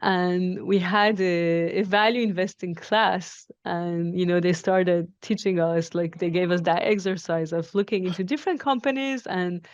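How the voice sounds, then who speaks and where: A woman's voice: fairly low pitch, steady tone, unhurried, few pauses, no echo, lo-fi sound. A woman in her forties from Iran and France, answering interview questions conversationally and reflectively, rephrasing as she explains. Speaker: interview guest, technology professional.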